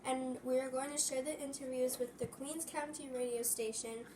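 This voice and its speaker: A woman's voice: high voice, steady tone, normal speed, background noise. A young woman interviewing an elder for a school project, tentative and often restarting her questions. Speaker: student interviewer.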